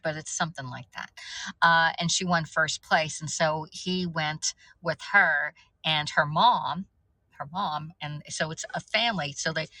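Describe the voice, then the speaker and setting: A woman's voice: sits low, pushed and bright, no echo, poor audio. A woman in her fifties chatting casually on a livestream, rambling off topic and greeting viewers by name. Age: 50s. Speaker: livestream host.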